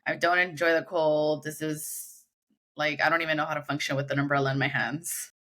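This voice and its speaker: American female podcast guest, very bright lowish voice, casual conversational delivery. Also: flat delivery, brisk. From Los Angeles, United States.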